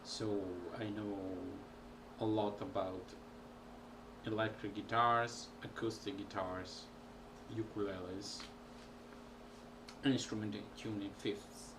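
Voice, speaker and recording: A man, a musician, talking casually to camera at home in a halting way with many pauses. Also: fairly low pitch. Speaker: musician vlogger. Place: small room at home. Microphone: built-in camera mic. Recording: distant home camera audio with background noise.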